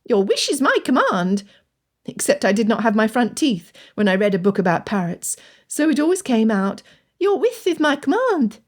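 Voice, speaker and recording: A woman voicing a spirited, kind young gentlewoman from a period storybook. Her speech is polite and lively, close to the narrator's own voice. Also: medium pitch, natural voice. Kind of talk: audiobook character voice.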